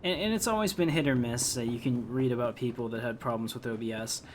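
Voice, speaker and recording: A man with a medium-pitched voice hosts a game playthrough. He talks casually and conversationally as he comments. There is clear noise behind his voice. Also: fast. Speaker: gaming video host.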